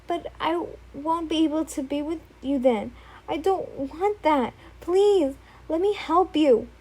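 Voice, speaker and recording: A woman's voice: high voice, slow, dark tone, pitched above the performer's own voice. A woman voicing an obsessive, tearful young man who pleads in an emotional way. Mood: coaxing.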